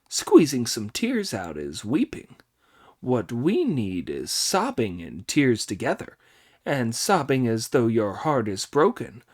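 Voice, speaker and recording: A man's voice: mid-range voice, exaggerated voice. A man reading an audiobook, voicing a cheeky, boastful male monkey king who bosses the others around. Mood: bossy. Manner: boastful, commanding, excitable.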